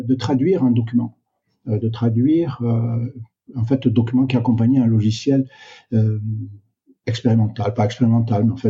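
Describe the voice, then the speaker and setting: An older man talks slowly, stopping often to search for his words. His voice is very dark and mellow. The recording is dull and lo-fi. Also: medium pitch. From Canada. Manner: slow, reflective, many hesitations. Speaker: guest, doctor. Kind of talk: interview answers. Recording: dull, lo-fi sound.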